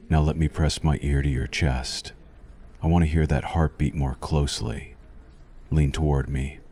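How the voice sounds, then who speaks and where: A man playing a sinister, possessive vampire doctor in an ASMR roleplay, silkily courteous and softly menacing, with slow, deliberate delivery. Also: very deep voice, slight background noise. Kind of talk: ASMR roleplay addressed to the listener.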